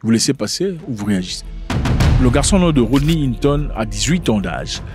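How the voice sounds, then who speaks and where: A man speaking French as a second language talks through a video in a casual commentary style. His voice sits at an ordinary pitch, and he keeps posing rhetorical questions, often stumbling and restarting his sentences. Faint music plays softly behind him. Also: big pitch swings, normal speed. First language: not French.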